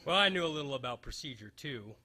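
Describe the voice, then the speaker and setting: Bright, forceful male radio-spoof detective, acted by a man, wisecracking and hapless, wry hard-boiled delivery. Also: medium pitch, animated, quick pace. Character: wisecracking, hapless male private fire investigator narrating a noir spoof. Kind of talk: old-time radio detective spoof, staged reading.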